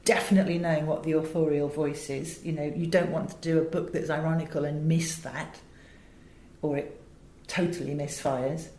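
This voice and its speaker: Englishwoman with a lowish voice, echoey room. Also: steady tone, moderate pace, warm tone. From England. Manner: articulate, illustrates points with acted examples. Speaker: voice director and audiobook producer. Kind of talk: interview talk, explaining her craft. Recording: roomy, echoey sound.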